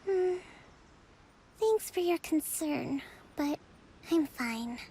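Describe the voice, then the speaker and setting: A soft-spoken young priestess ruler, high voice, unhurried. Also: female voice, animated, slight background noise, heavily acted. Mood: calm. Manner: soft, patient, composed. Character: young female island priestess leader, calm and gracious. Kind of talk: video game voice acting.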